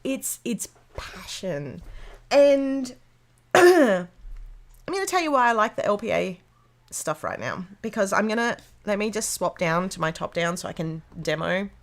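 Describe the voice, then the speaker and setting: A woman hosting a casual craft livestream from a room at home, chatty and bubbly, greeting viewers by name, her voice a little hoarse from illness. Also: mid-range voice, animated, unhurried. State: slightly hoarse, losing her voice after being sick. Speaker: livestream host of a planner craft show. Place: room at home with a door open to rain.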